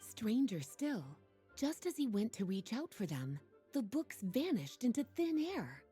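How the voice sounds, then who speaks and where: Game sorceress-librarian, soft and mellow, lively swoops of intonation, poised, lazily teasing, knowing. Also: female voice, fairly high pitch, unhurried, faint music behind. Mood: intrigued. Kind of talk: video game character dialogue.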